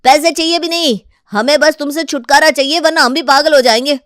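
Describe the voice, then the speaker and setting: A woman voicing a fed-up, rude male bus driver who snaps rapidly and threateningly at passengers in a story. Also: sits high, a bit bright, no room sound, clean studio sound. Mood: defiant.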